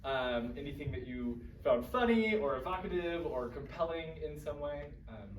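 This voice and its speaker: Higher-voiced man moderating, roomy and distant. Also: expressive, normal speed. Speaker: talkback moderator. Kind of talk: casual audience discussion. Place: roomy indoor performance space, far microphone. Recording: echoey, distant, noisy room recording.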